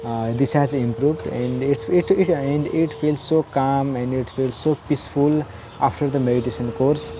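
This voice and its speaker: A man's voice: medium pitch, noisy background. A man from Nepal, a native Nepali speaker, speaking English with a Nepali accent, hesitantly sharing his meditation experience with many fillers and restarts. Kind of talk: unscripted personal testimony.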